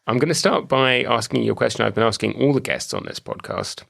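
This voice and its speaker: A man with a British accent hosting an academic podcast, reading a measured scripted introduction and asking questions. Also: mid-range voice, huge pitch swings, creaky, a bit bright. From British Isles. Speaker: podcast host. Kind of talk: scripted intro then interview.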